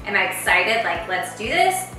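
A woman's voice: mid-range voice, very animated, normal speed. Chatty woman in an echoey kitchen. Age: late 20s. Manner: chatty, bubbly, heavy use of "like". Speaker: lifestyle vlogger. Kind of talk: casual chat while cooking. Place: large home kitchen, indoors. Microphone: far camera mic. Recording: echoey kitchen from far off, background music.

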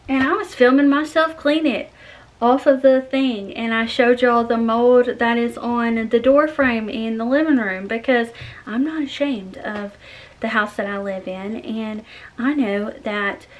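A woman's voice: on the high side, rough recording. A woman, chatting to viewers in a casual, encouraging way, with lots of fillers, from a phone held at arm's length in a small bedroom. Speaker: cleaning vlogger. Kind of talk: casual chat to camera.

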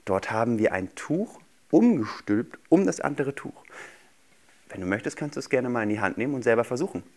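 A man's voice: medium pitch, expressive, fairly quick, darkish tone. A man in his thirties, a magician guest on a children's show, explaining things simply to young viewers. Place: small indoor TV studio set. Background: background music.

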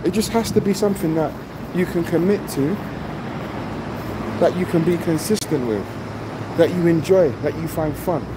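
A man's voice: on the high side, normal speed. A middle-aged man vlogging casually into a phone held at arm's length, repeating his points with emphasis and often asking "you know what I mean". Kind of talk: casual selfie vlog. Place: outdoors on a street by a shop, traffic around. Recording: loud street and car noise.

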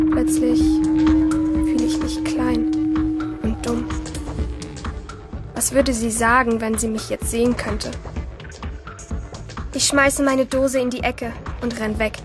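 A woman playing a rebellious, lonely teenage girl who narrates her thoughts intimately in a German radio play. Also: on the high side.

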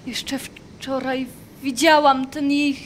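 An actress playing a grief-stricken mother in a radio drama, speaking in a halting, anguished way. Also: high voice, level tone. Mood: grieving. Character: grief-stricken mother of a small daughter in a camp. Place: live performance inside a wooden barn. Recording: faint background noise, barn stage.

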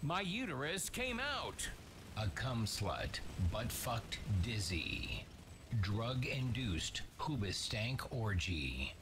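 A man's voice: medium pitch, big pitch swings, slow, background noise. A man delivering short, crude one-liner callouts in an exaggerated, theatrical way for a game voice pack.

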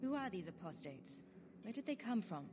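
An actress voicing a wary but compassionate fantasy video game heroine, asking things in a direct way. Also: female voice, a little high, big pitch swings, moderate pace, a little mellow, husky. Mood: questioning, concerned. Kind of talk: scripted video game dialogue.

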